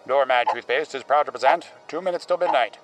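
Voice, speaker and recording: A man's voice: medium pitch, brisk, music in the background, natural voice. A man playing a formal, presentational American station announcer from old-time radio. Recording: faint noise and clicks.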